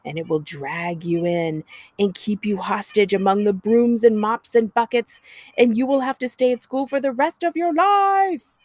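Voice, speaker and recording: A woman's voice: mid-range voice, moderate pace, dry recording, pitched above the performer's own voice, natural voice. A woman reading a storybook as an impatient, sardonic teenage older sister who scolds in exasperation. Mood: menacing teasing. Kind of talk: children's storybook character reading.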